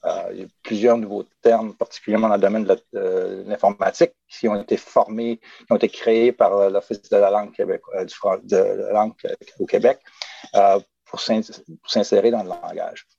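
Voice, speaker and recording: Gravelly dark-voiced man. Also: fairly low pitch, fairly even intonation, fairly quick, no echo, lo-fi sound. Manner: hesitant, many restarts and fillers. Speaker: panel guest. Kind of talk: discussion panel.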